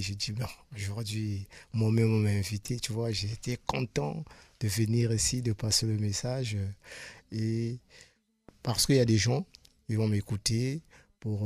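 A man from Congo-Brazzaville, a radio guest telling his story sincerely, with frequent pauses and repeated words. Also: lowish voice, dry recording. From Republic of the Congo. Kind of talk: earnest personal testimony. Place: radio studio.

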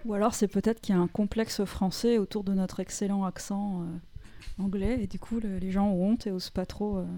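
A woman hosting a radio talk show in an easygoing, relaxed manner. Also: mid-range voice, moderate pace. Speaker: radio host. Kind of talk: casual radio chat.

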